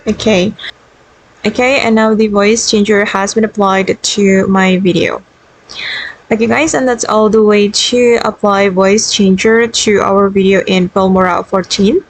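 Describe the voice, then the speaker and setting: Woman hosting a casual software tutorial. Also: medium pitch, steady tone, measured pace, rough recording. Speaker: tutorial host.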